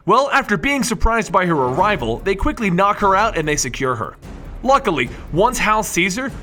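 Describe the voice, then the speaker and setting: A man's voice: sits high, bright, forceful tone. A man narrating comic book stories from a script, emphatic and addressing his listeners directly. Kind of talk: lively scripted storytelling narration.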